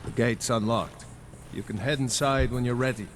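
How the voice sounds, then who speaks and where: An actor doing a shady gate guard in a fantasy game, demanding a bribe in a curt, businesslike voice. His tone is plain and mid-pitched, with faint noise behind it. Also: steady tone, moderate pace, natural voice. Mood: brusque. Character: corrupt male city gate guard, gruff. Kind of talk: video game dialogue.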